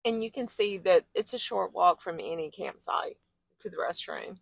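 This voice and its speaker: Female travel vlogger, folksy and appreciative, casual tour chat, gravelly fry, close dry sound. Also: medium pitch, normal speed, dry recording. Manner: folksy, appreciative, colloquial y'all.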